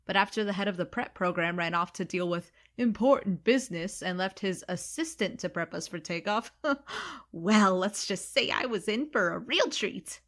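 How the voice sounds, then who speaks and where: A woman's voice: mid-range voice, expressive, moderate pace, a bit bright, natural voice. A woman playing a sarcastic, irreverent young recruit in a sci-fi comedy audio drama, casual and flippant. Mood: wry. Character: snarky, irreverent young woman, reluctant space recruit and narrator. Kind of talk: comedy sci-fi audio drama, first-person narration and dialogue.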